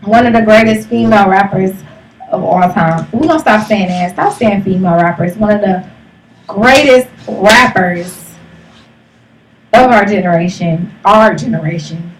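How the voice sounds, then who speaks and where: A woman's voice: medium pitch, moderate pace. Blunt thirties woman, distant noisy mic. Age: 30s. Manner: blunt, brash, slangy. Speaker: host of a live streamed talk show. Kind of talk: casual, profane banter.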